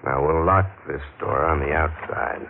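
An actor playing a taunting, mock-polite killer on the run in an old-time radio thriller, speaking with deliberate menace. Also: male voice, rumbling low, narrow, tinny audio. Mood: coolly commanding. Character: menacing male killer on the run, mocking, controlling. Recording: scratchy, band-limited old radio recording.

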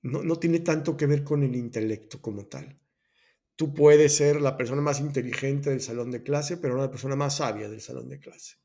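A man's voice: medium pitch, moderate pace, warm tone. A man hosting a personal growth talk, explaining Buddhist ideas in a thoughtful way, often restarting and correcting himself. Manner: thoughtful, self-correcting, many restarts and asides. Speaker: host of a personal growth podcast.

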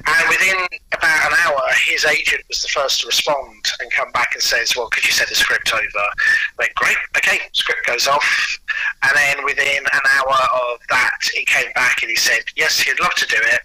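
A man's voice: mid-range voice, steady tone, moderate pace, pushed and bright, husky, no room sound, rough recording. A man speaking as an interview guest, enthusiastic and anecdotal in casual chat. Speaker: audio producer and actor, interview guest.

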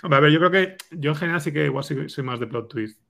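A man's voice: fairly high pitch, fast, vocal fry, sharp tone. A man with an accent from Spain giving casual, conversational answers as an interviewed scriptwriter, often checking for agreement. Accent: Spanish from Spain. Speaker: scriptwriter guest being interviewed.

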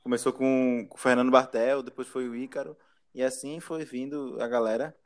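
A man's voice: medium pitch, level tone, a little fast. A man hosting a casual podcast chat, laughing often and trailing off mid-sentence. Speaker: podcast host.

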